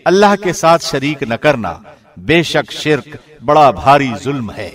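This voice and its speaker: A man reading a scripture translation from a script in a formal, measured, clearly enunciated way. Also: medium pitch, very animated. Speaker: translation reader, radio host.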